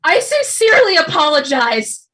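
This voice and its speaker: Actress as flustered, awkward female co-op worker, sitcom read; very animated, high pitch. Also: normal speed, slight background noise, exaggerated voice. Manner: flustered, stammering. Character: Cass, anxious, awkward, eager young female co-op worker.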